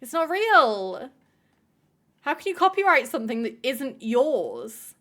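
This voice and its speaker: A woman's voice: high-pitched, very animated, takes it slowly, bright, forceful tone. A woman hosting a live stream, chatting casually with viewers, filling her talk with "like" and swearing freely. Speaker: livestream host.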